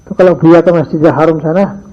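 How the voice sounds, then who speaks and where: Older preacher, lo-fi tape. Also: male voice, a little high, fairly even intonation, fast. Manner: mixes in Javanese phrases, acts out dialogues in his stories. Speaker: Islamic teacher of boarding school students. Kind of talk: morning religious lesson. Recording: dull lo-fi archive recording.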